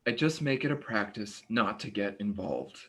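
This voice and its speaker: A woman's voice: extremely low pitch, expressive, moderate pace, fairly bright tone. A woman acting an uneasy, evasive neighbor in a play reading, stammering and defensive. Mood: evasive, flustered. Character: evasive, uneasy, apologetic neighbor avoiding involvement. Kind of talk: staged play reading, naturalistic dialogue.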